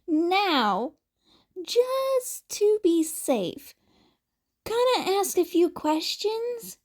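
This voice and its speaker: A playful, fussing man, acted by a woman in a high register. He talks in a chirpy sing-song, chatty and caretaking, as a character in an audiobook. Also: sing-song, very slow, full character voice. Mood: fussing, cheerful.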